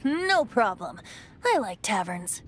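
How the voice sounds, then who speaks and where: A woman's voice: mid-range voice, very animated, slow. A woman playing a carefree, wine-loving young male bard in a video game, with a teasing, breezy, theatrical delivery. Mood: playful. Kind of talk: scripted video game voice acting. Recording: faint background noise.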